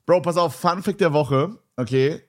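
A young man co-hosting a podcast, chatting in a rambling, excitable, slang-heavy way. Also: a little high, normal speed. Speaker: podcast co-host. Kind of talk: casual podcast chat.

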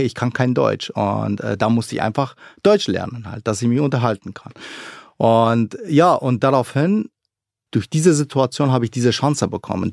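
A man's voice: mid-range voice, gravelly, darkish tone, no echo, clean studio sound. A young man from Iraq with Arabic as his first language, talking earnestly and animatedly as an interview guest, with many fillers. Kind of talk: conversational interview.

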